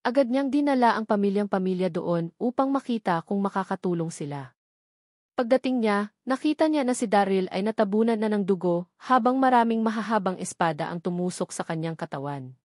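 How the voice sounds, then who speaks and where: A woman's voice: medium pitch, expressive, fairly quick, darkish tone, no echo, clean studio sound. A woman reading a scripted story as an audiobook narrator.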